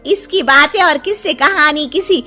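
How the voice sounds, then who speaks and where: A woman's voice: high-pitched, moderate pace, bright, forceful tone, faint music behind. A woman voicing a cheerful, easygoing middle-aged mother-in-law in a cartoon, chatty and agreeable. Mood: amused.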